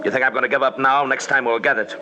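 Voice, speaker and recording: A man's voice: medium pitch, fairly even intonation, racing, bright, forceful tone. A man playing a determined, haunted expedition leader who tells his story in terse, intense old-time radio style. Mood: stubborn, defensive. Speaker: lead actor and narrator. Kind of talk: dramatic first-person narration, old-time radio acting. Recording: very noisy old radio recording.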